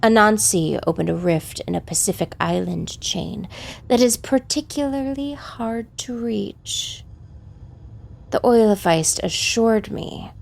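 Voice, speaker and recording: Mother of monsters: serpentine, imperious, irritable, hissing, very slow and creaky, audio fiction. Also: mid-range voice, a little mellow. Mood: cold, irritated.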